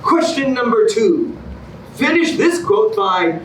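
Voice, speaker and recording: A man playing an excitable, self-satisfied TV contest host with grandiose, emphatic announcing. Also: extremely high pitch, slow, heavily acted. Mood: showy, upbeat. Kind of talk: theatrical game show presenting in a staged reading. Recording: distant echoey stage recording, clear noise.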